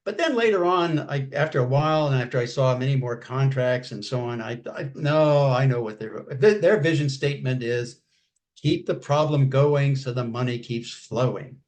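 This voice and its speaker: An older man speaking as a guest in a casual interview. His pitch is ordinary and middling, and he talks haltingly, often repeating words and stopping to clear his throat. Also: a little mellow.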